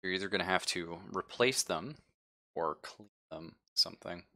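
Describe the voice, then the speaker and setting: A man acting as game master in a tabletop roleplaying game, describing things conversationally and haltingly as he thinks aloud. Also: low-pitched, pushed and bright. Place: live-streamed game session.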